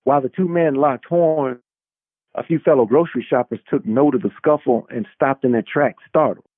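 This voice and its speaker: A man's voice: mid-range voice, moderate pace, no echo, phone-quality sound. A man who is an author reading his own novel as dramatic narration, on a band-limited recording.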